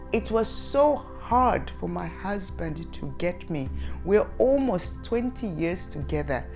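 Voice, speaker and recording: A woman's voice: on the low side, a little mellow. A middle-aged woman giving relationship advice straight to the listener in an emphatic, instructive way, repeating her key points. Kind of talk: direct-to-audience advice talk.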